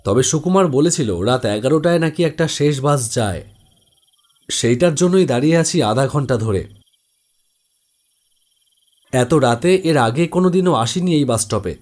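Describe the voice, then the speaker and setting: A man's voice: medium pitch, expressive, moderate pace, a little mellow. A man narrates a scripted first-person horror story.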